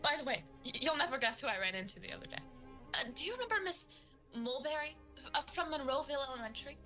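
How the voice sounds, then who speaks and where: A woman playing a boastful, chatty, overbearing mother in a comedic audio drama, gushing and quick to interrupt. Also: sits high, animated, bright, forceful tone, soft background music. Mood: excitable rambling. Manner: gushing, interrupting, nervously dismissive.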